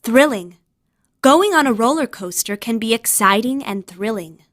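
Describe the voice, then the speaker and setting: A woman's voice: on the high side, lively intonation, normal speed, fairly bright tone, no room sound, studio quality. A woman giving scripted educational narration, reading vocabulary words with example sentences.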